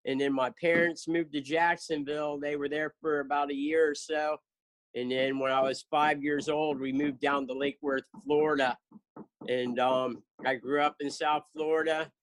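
A man's voice: on the high side, flat delivery, moderate pace, poor audio. A man in his fifties, a guest in a casual interview, telling his story in an earnest, chatty way. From southern United States. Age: 50s. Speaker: guest, charity founder.

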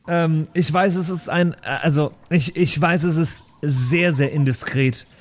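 A man's voice: a little high, steady tone, measured pace. A man improvising in-character in a role-playing game, earnest and often stammering over his words. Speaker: player in a pen and paper audio show.

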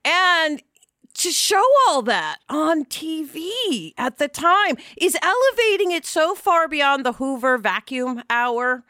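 A woman's voice: sits high, very animated, slow, sharp tone, no room sound, studio quality. A woman in a casual discussion, talking frankly and emphatically and checking in with rhetorical questions. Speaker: podcast panelist, actor.

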